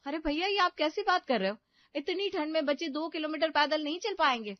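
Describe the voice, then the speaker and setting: A woman voicing a hurried young mother in a cartoon, urgent and pleading. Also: sits high, lively intonation, dry recording, voice pushed up. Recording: dull, muffled audio.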